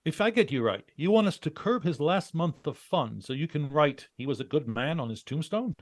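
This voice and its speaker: A man's voice: a little high, expressive, dry recording, clean studio sound. A man playing a cool, commanding American troubleshooter in a hard-boiled 1940s-style radio drama. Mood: wry. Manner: brisk, wry, hard-boiled.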